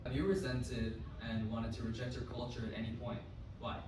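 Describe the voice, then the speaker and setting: A man reading out interview questions in a plain, even way. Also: medium pitch, brisk. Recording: echoey, distant, noisy room recording.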